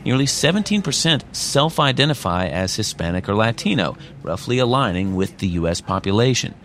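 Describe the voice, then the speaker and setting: Low-voiced male radio reporter. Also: slight background noise. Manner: measured, even news delivery. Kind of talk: scripted news report.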